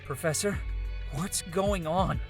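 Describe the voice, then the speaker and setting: A man voicing a frightened, disbelieving young university student in a visual novel, speaking in an anxious, halting way. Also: high voice, music in the background, voice pushed up. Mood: shaken, confused. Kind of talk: visual novel character reading.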